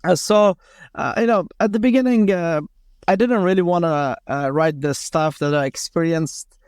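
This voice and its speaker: A man's voice: a little high, normal speed, clean tone, dry recording, studio quality. Hesitant Afghan-born man in his thirties. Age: 30s. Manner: hesitant, many fillers and restarts. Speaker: guest, former special forces commander. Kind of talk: casual interview talk.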